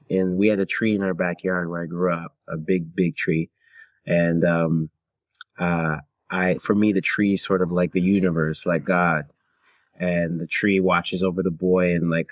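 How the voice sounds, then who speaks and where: A man with a very deep voice speaks as a guest in a relaxed interview. He comes from Canada and thinks aloud in short, halting phrases. The sound is very dry, with next to no room in it. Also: no echo. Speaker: guest, singer-songwriter and theater performer. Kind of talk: casual interview conversation.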